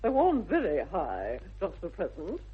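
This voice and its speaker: An imperious older English aristocrat in a vintage radio comedy. Her upper-class delivery is haughty and emphatic, swooping widely with a husky edge. The recording is muffled and tinny. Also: lowish voice, huge pitch swings, unhurried, heavily acted. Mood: commanding. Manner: grand, haughty, emphatic drawl. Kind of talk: 1960s radio comedy acting.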